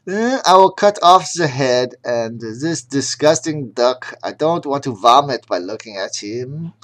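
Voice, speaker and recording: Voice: medium pitch, animated, measured pace, fairly bright tone. A storyteller voicing a cruel woman chef who sneers in disgust.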